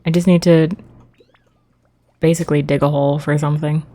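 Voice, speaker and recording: A woman's voice: sits low, level tone, brisk, mellow. A woman livestreamer chatting casually while she plays a game. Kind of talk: casual game stream chat.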